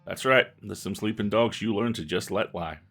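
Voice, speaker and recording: A man's voice: on the low side, moderate pace, gravelly, fairly bright tone, husky, soft background music, heavily acted. A man voicing a dismissive middle-aged small-town sheriff in a game, folksy, blunt and weary. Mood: blunt, defensive. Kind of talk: reading game dialogue aloud.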